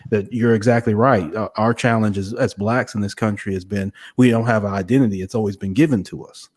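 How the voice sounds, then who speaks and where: A man's voice: on the low side, steady tone, brisk, a little mellow. A man hosting a casual, conversational interview in an informal way. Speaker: interview host.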